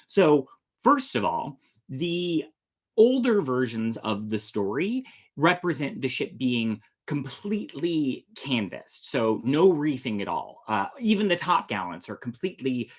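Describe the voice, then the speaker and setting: A man's voice: mid-range voice, very animated, a little slow. A man hosting a casual storytime, chatty and jokey as he explains things in asides. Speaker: storytelling host.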